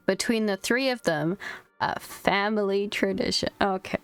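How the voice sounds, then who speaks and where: A woman's voice: medium pitch, slow, clean tone. A woman streaming a video game, giving casual live commentary.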